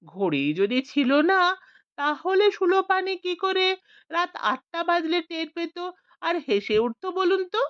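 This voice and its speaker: A woman's voice: extremely high pitch, very animated, takes it slowly, no room sound, pitched above the performer's own voice, heavily acted. A woman voicing a clever village man who asks coaxing, leading questions. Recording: dull lo-fi.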